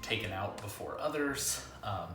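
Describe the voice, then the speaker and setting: Low-voiced man in an echoey room. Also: animated. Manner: halting, pauses mid-sentence. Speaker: comic book reviewer and video host. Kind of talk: casual vlog review. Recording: echoey room, music underneath.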